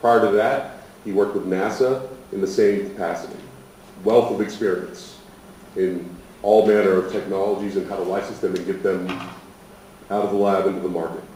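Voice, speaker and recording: Low-voiced American man presenting, very echoey room. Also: steady tone, normal speed, mellow. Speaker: programme instructor, presenter. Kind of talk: informal presentation at a programme opening. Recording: echoey room, distant mic, faint noise.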